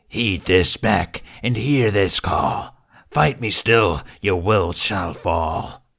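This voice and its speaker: A tyrannical, monstrous dragon queen, performed by a man for an audiobook. The voice is low and very slow, thick with creak and husk. It booms out menacing rhymes like an imperious chant. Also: animated, a bit bright, heavily acted. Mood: ominous command. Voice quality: gravelly, husky. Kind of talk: audiobook character voice.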